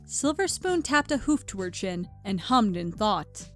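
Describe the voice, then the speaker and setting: A woman's voice: mid-range voice, big pitch swings, a little slow, soft background music. A woman reading scripted storybook narration as the narrator of a fan fiction tale.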